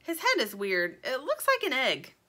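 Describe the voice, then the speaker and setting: Woman as a high, excitable little blue bird. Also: normal speed, full character voice. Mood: fussy, describing. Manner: excitable, earnest.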